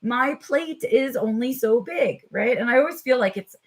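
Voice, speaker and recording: Tired, slightly raspy woman chatting live. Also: on the high side, animated, moderate pace. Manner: chatty, frequent ums, addresses viewers directly. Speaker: vlog host. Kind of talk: casual unscripted live chat.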